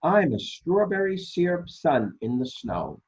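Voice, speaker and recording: A man acts out a certain, bookish little plastic toy from a storybook. The delivery is emphatic, with a husky tone and wide pitch swings. The sound is a bit muffled. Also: big pitch swings, measured pace, darkish tone, heavily acted. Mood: excited. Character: matter-of-fact, bookish female plastic toy, certain of facts. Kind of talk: children's picture-book read-aloud.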